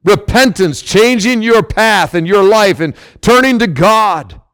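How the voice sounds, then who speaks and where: Male pastor, loud emphatic sermon, high and very lively pitch. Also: unhurried. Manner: loud, emphatic preaching with sudden swells and drops.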